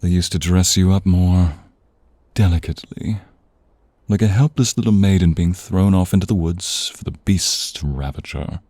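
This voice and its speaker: Man acting a very deep, husky vampire master. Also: dark tone, no room sound, clean studio sound. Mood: brooding, seductive. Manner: slow, teasing, commanding, often slipping into whispers. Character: sinister, seductive male vampire, domineering and predatory master. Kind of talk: ASMR audio roleplay. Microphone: close, dry studio microphone.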